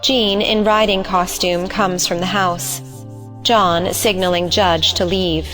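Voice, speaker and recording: A woman's voice: on the low side, steady tone, takes it slowly, fairly bright tone, faint music behind. A woman narrating the stage directions of an audio play in a scripted reading style.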